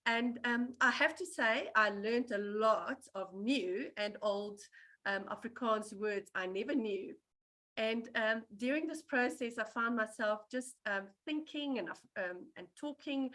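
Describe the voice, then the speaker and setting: A woman's voice: a little high, bright, forceful tone, rough recording. A woman reading her prepared remarks at a live book launch, chatty and scattered, with many ums. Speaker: book designer and translator.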